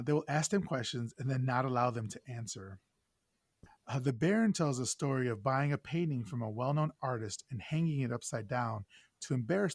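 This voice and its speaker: A man with a mid-range voice hosts a casual film discussion. He talks informally, with salty asides, in a dry, echo-free recording. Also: mid-range voice. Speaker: podcast host.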